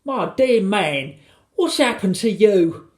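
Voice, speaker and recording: Storybook mother played by a narrator: tiny anxious woman, raised pitch, fretful exclamations, excitable temper. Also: measured pace, reverberant, exaggerated voice. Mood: shocked.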